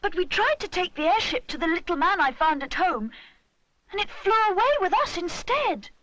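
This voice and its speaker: Woman voicing an eager, high-pitched little boy bear. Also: sing-song, moderate pace, pushed and bright, dry recording, full character voice, low-quality recording. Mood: eager, puzzled. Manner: eager, exclaiming.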